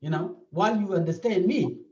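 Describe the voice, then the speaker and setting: A South Sudanese-accented man speaks earnestly, his pitch rising and falling freely as he repeats his points. His voice is fairly high but mellow. The recording is dull and echoey, and he sounds set back from the mic. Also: animated, fairly quick, distant mic. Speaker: therapist. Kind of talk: interview.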